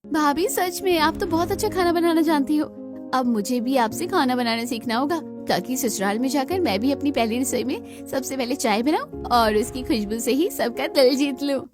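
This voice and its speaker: High-voiced frank young village girl, woman acting. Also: animated, normal speed, music in the background. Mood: admiring. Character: frank young village sister-in-law, college student.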